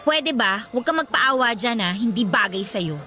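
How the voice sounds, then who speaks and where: A woman acting a teasing, feisty young student in a Tagalog radio love drama, speaking in a sassy, expressive way. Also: fairly high pitch, moderate pace. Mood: irritated. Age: young adult.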